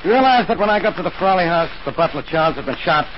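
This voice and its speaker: Man playing a fast, sarcastic radio police inspector. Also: on the high side, expressive, close to an everyday voice. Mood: exasperated. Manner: blunt, sarcastic. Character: gruff, sarcastic, exasperated male police inspector. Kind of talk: 1940s radio acting. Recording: muffled, noisy 1940s radio recording.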